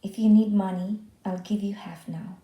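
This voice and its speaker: Woman as blunt boss man, mellow-toned. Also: medium pitch, fairly even intonation, moderate pace, reverberant, lightly acted, low-quality recording. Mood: calmer, conceding. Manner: emphatic, blunt. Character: Ben, brusque man who hires gardeners.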